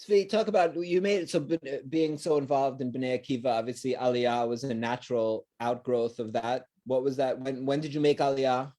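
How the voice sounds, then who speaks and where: A man hosting an interview, asking questions in a friendly, chatty way with quick follow-ups. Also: mid-range voice, steady tone, warm tone. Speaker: interview host.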